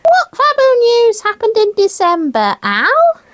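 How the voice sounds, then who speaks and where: A woman's voice: extremely high pitch, huge pitch swings, measured pace, no room sound, exaggerated voice. A woman voicing a naive, eager young child puppet with innocent exclamations in a children's comedy show. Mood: curious.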